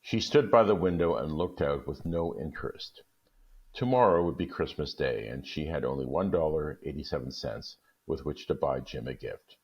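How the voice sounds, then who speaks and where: A man with an extremely deep, low voice reads a classic short story aloud at an unhurried, even pace. The recording is muffled and lo-fi. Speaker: guest reader.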